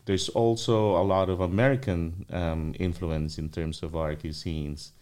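A man's voice: low voice, fairly even intonation, measured pace, a little mellow. A middle-aged man from the Philippines, a restaurant owner being interviewed, talking casually with many fillers and restarts.

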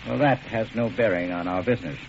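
An actor playing a genteel, professorial doctor turned criminal, polite and wordy, in a 1940s radio drama. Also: male voice, fairly low pitch, brisk, vocal fry. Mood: smooth, assured. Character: genteel, erudite male doctor posing as cool criminal. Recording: dull, noisy old radio recording.

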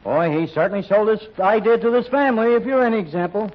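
A man's voice: high-pitched, animated, fast, lo-fi sound. A man playing a brash, skeptical newspaper reporter in old-time radio style, with a slangy, needling, wisecracking manner. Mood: wry.

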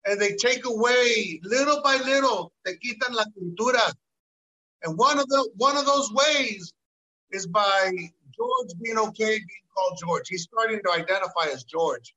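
A man holds forth on a podcast. His voice is high for a man and bright, almost sharp. He talks with emphatic energy and slips into Spanish. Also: normal speed, low-quality recording. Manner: animated, emphatic, mixes Spanish into English, lots of "you know". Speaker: podcast host. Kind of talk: casual podcast chat.

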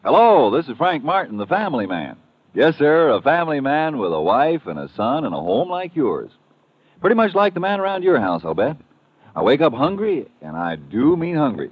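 A man's voice: fairly high pitch, sing-song, normal speed, husky. An actor playing a folksy, contented American family man in an old-time radio commercial, chatting to the listener conversationally. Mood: folksy, persuasive. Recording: dull, dry vintage radio recording.

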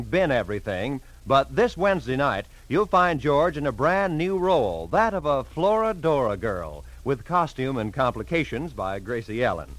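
A man's voice: mid-range voice, fairly bright tone, low-quality recording. A man announcing for 1940s network radio in a polished, emphatic way.

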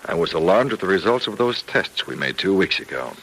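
A man's voice: sits low, very animated, brisk, fairly bright tone, heavily acted. An actor playing a firm, reassuring male doctor in an old-time radio serial, speaking patiently and persuasively. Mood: earnest, insistent. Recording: noisy vintage radio drama recording.

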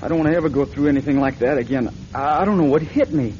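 A man's voice: fairly high pitch, fast, mellow, a little hiss or hum, close to an everyday voice. An actor playing a young male van driver with a folksy, hesitant way of talking in a radio drama. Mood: dazed. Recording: dull radio recording, music behind.

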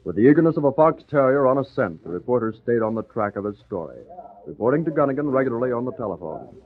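A man's voice: mid-range voice, sing-song, fast. A man reading scripted narration for an old radio drama. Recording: tinny old radio recording. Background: music.

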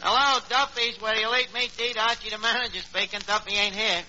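An actor playing a cocky, blustering tavern manager with a New York accent, mangling big words with pompous confidence in a radio comedy. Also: male voice, high voice, fairly even intonation, brisk, lightly acted. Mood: breezy, boastful. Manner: malapropisms delivered with pompous confidence. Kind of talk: 1940s radio comedy acting. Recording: dull, noisy old radio recording.

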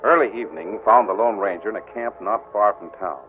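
A man narrating a western radio drama in a measured storytelling manner. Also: on the low side, over music. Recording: tinny noisy old radio broadcast.

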